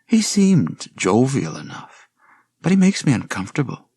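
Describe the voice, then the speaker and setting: Deep guy doing an uneasy wife in an audiobook, voice lifted up, wary, questioning, dry. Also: huge pitch swings, normal speed, mellow, husky, no room sound, heavily acted.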